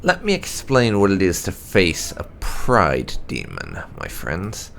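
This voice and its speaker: Stern fantasy-game Templar commander, played by a male narrator, low and gravelly, lecturing gravely. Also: wildly swooping pitch, slow, a bit bright, a little hiss or hum, exaggerated voice. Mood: grave warning.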